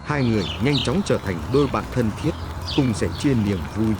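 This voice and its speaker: A man's voice: mid-range voice, moderate pace, noisy background. A man reading a scripted fairy tale as a storyteller. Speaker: storyteller narrator. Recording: music playing behind the voice. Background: prominent background music.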